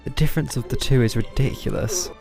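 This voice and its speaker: A man giving casual live gameplay commentary, low-key and thinking aloud. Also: expressive, fast, vocal fry. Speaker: game streamer. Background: game music.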